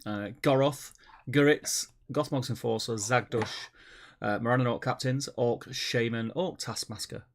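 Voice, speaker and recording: A man with a stuffy head cold co-hosts a live stream in a relaxed, chatty way. His voice has a gravelly edge at mid pitch. He welcomes viewers with upbeat warmth. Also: measured pace, slight background noise. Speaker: co-host. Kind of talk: casual stream chat.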